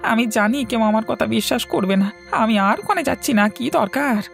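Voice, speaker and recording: Woman as a very creaky, earnest village lad. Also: on the high side, quick pace, soft background music. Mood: pleading. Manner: pleading, defensive. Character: earnest, timid young male village handyman. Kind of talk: cartoon story acting.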